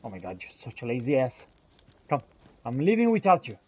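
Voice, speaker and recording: A man roleplaying a bossy, weary fantasy warrior in a video game, improvising theatrically with a husky voice. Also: mid-range voice, huge pitch swings, a little fast, darkish tone. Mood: impatient, exasperated. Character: male fantasy warrior, weary, bossy, exasperated with his companion. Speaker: gamer roleplaying as his character.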